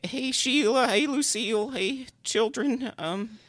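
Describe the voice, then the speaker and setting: A man's voice: very high-pitched, takes it slowly, fairly bright tone, dry recording, heavily acted. A man playing an eager, over-apologetic non-human podcast producer, stammering and flustered in comedy banter. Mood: eager, encouraging.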